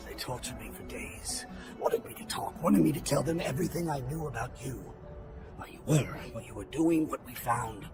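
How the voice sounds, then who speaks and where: An actor playing a battered, guilt-ridden captive in a game cutscene, speaking in a halting, pained, breathy voice that can sink to a whisper. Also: male voice, mid-range voice, expressive, over loud music, lightly acted. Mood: pained, ashamed. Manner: halting, pained delivery with repeated words. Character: battered, guilt-ridden male captive confessing after torture. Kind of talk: video game cutscene acting.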